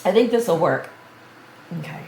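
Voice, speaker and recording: An older woman streaming a video game tutorial, chatty and thinking aloud as she plays, her voice going a bit hoarse. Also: on the low side, expressive, normal speed, darkish tone, reverberant, slight background noise, poor audio. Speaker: gaming streamer. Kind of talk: game tutorial livestream commentary.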